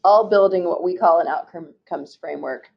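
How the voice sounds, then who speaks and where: Gravelly woman presenting a webinar. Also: lowish voice, moderate pace. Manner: steady, formal slide-by-slide delivery with occasional restarts. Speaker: state agency program presenter. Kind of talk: reading slides in a webinar presentation. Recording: muffled, dull webinar audio.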